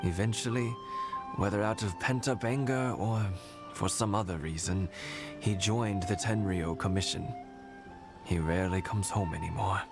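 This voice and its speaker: A gentle, worried old father. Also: deep voice. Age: older. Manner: measured, reminiscing storytelling. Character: elderly male shopkeeper, gentle, worried father. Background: game music.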